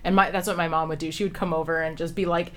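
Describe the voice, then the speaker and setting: A woman with a somewhat low voice chats casually as a podcast co-host. She tells loose, rambling stories and acts out little quotes. A faint hiss sits under the recording. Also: flat delivery, very fast, a bit bright. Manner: chatty storyteller, acts out quotes. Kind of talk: casual chat.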